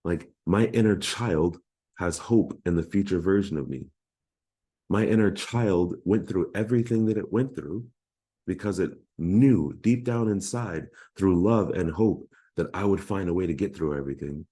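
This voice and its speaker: Deep, mellow-voiced man, earnest coach. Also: normal speed, husky. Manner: earnest, heartfelt, emphatic. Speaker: life coach. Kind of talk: motivational solo talk.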